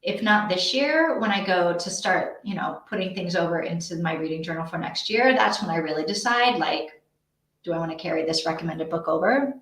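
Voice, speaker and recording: A woman's voice: on the low side, brisk, a bit bright. A woman hosting a casual livestream, chatting in a rambling way as she thinks aloud. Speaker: host of a live reading sprint stream. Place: indoor room with echo. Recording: echoey untreated room.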